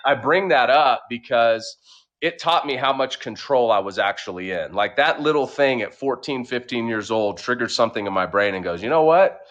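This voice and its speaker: A man's voice: medium pitch, expressive, normal speed, fairly bright tone, muffled recording. A man with a light Oklahoma drawl talks through his background in an easygoing, conversational way. He stretches some words out and leans on fillers as he goes, his voice sitting in an ordinary middle register. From Oklahoma, USA. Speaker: podcast guest, entrepreneur. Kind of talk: casual interview storytelling.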